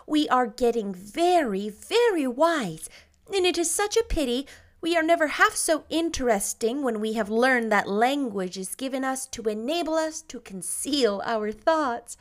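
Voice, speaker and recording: A woman voicing a dreamy, gently wise young teacher who speaks in a wistful, playful way. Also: high voice, expressive, a little slow, voice pushed up.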